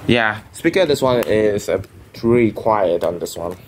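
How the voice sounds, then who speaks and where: A man's voice: on the low side, lively intonation, unhurried, slight background noise. A young man whose first language is Vietnamese, casually rambling through a show-and-tell with many restarts and fillers. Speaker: phone collector vlogger. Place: bedroom at home.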